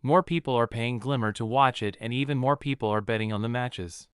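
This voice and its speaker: A man giving a scripted first-person narration as an in-character game audio log, in a smooth, clear voice. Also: medium pitch, fairly quick, no echo, studio quality.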